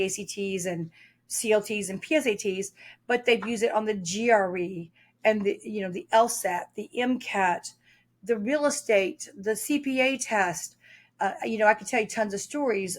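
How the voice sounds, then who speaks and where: A woman guest on a podcast, an author explaining test preparation in a talkative way, telling stories with frequent fillers. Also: medium pitch. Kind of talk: podcast interview.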